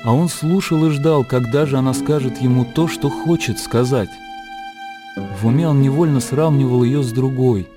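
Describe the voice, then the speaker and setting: A man's voice: medium pitch, normal speed, over music. A man reading scripted literary narration for a radio drama in a composed storytelling manner.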